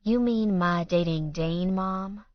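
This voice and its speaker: A woman voicing a guarded young woman who speaks softly, slowly and carefully. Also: fairly low pitch, no room sound, lo-fi sound.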